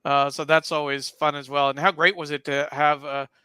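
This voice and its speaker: A man with a higher-set, very bright voice co-hosts a casual live show. His sound is crisp and close, and he speaks in a measured, hesitant way. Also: level tone, dry recording. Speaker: talk show co-host.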